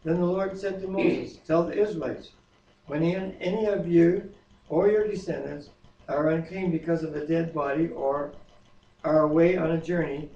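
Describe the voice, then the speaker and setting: A man's voice: on the high side, steady tone, vocal fry, mellow. An older man with a tenor voice, co-hosting a home Bible reading and singing a hymn at a keyboard. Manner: sings slowly while playing keyboard. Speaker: co-host reading scripture. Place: wood-paneled home dining room, indoors. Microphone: far camera. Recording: roomy, distant, dull home video.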